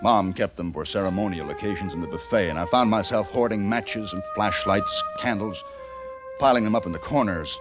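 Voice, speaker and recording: An actor playing a haunted, educated young American survivor, narrating intensely in the theatrical style of old-time radio drama. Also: male voice, fairly low pitch, brisk, muffled recording, natural voice. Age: young adult. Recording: vintage radio recording with music behind.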